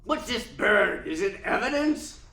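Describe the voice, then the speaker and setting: Gravelly, forceful radio cop. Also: male voice, high-pitched, big pitch swings, measured pace, heavily acted. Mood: brisk, stern. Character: gruff, blunt male police detective, no-nonsense. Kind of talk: 1940s radio acting. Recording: roomy echo.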